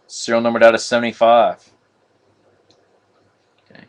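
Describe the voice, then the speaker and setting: A man hosting a live card break on a stream, talking viewers through each pull in a flat, monotone way. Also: mid-range voice. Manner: monotone, says so himself; chatty, addresses viewers as guys. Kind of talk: casual live-stream commentary.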